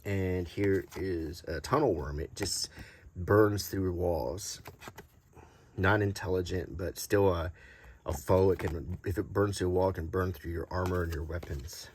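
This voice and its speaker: A man hosting an unscripted, ASMR-like show-and-tell in a halting, casual way. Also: sits low, steady tone, darkish tone, slight background noise. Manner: halting, unscripted, hesitant delivery. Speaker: host.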